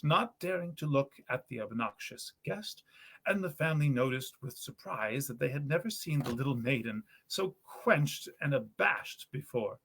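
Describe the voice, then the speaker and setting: A man reading a 19th-century tale aloud in an expressive, measured storyteller's manner with old-fashioned diction. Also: mid-range voice, vocal fry, breathy edge. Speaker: story reader, actor.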